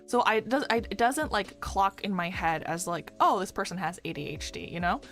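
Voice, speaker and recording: A young woman who streams and works as a doctor, chatting casually with a fairly deep voice and a rambling, filler-heavy way of talking. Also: animated, fairly quick, fairly bright tone, slight background noise. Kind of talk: casual chat with live chat readers. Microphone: desk microphone.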